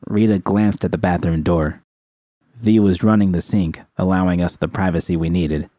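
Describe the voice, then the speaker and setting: A man's voice: low voice, steady tone, normal speed, dark tone, dry recording, clean studio sound. A man reading scripted first-person fiction as an audiobook narrator.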